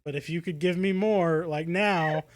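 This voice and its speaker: A man with a slightly high voice talks casually as a podcast co-host. He feels his way through his thoughts, restarting and leaning on "like" as he goes. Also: normal speed. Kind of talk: casual review chat.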